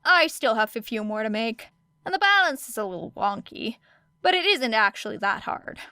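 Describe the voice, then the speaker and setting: A woman's voice: fairly high pitch, big pitch swings, normal speed, bright, forceful tone, clear, resonant tone, no echo, full character voice. A woman voicing a guarded teenage girl, a hero student who is apologetic and casually understated, in an audiobook story. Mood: matter-of-fact.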